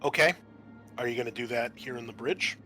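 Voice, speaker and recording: A man running a tabletop roleplaying game as game master, narrating informally and haltingly as he improvises the scene. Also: medium pitch, bright, forceful tone, soft background music.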